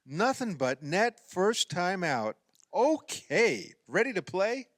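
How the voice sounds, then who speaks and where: Man playing insistent, needling husband in his fifties for a radio play; high, animated voice, dry close sound. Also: takes it slowly, no room sound, full character voice. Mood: insistent, bickering. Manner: excitable, insistent, argumentative. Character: eager, needling fifty-year-old husband playing basketball.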